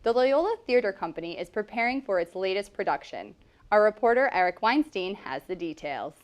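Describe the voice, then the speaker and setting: Woman, news anchor, scripted reading, even delivery, mid-pitched. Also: fairly quick.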